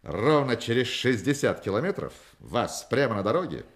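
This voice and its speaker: A man's voice: mid-range voice, sing-song, normal speed. An actor playing a witty, commanding male con man in a Russian radio play, talking with mock-pompous irony and grand declamation. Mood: teasing, confident.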